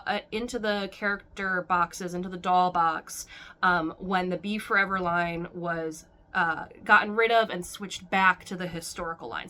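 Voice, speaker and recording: A doll-collecting woman talks at home in a bright, somewhat sharp voice, rambling and circling back on herself. She is still recovering from illness, so she sounds hoarse and sniffly. Her pitch sits around the middle for a woman. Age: adult. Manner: rambling, chatty, with restarts. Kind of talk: casual chat to camera. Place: warm room at home with a ceiling fan.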